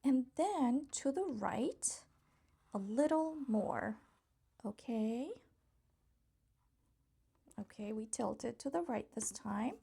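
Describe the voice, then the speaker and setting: A woman's voice: on the high side, expressive, takes it slowly, gravelly, long pauses, mellow, slightly rough. A woman doing an interactive picture book read-aloud for young children, coaxing and praising them in a playful way. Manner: encouraging, coaxing, addressing small children directly; playful, exclamatory reactions.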